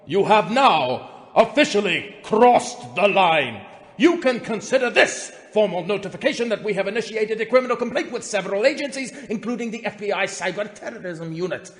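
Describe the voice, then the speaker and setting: Man acting a pompous high-pitched executive, cavernous reverb. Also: lively intonation, normal speed. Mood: indignant, threatening. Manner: pompous, theatrical delivery with sharp swells and drops. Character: indignant, pompous male corporate executive reading threatening emails. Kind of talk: dramatic reading of formal emails. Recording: long reverberant hall echo.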